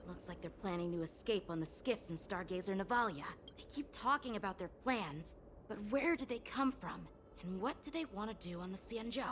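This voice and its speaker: A woman's voice: mid-range voice, animated, a little fast, fairly bright tone, slight background noise, lightly acted. A woman voicing an earnest, analytical, slightly proud young boy knight in an anime-style video game. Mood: suspicious. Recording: music behind the dialogue.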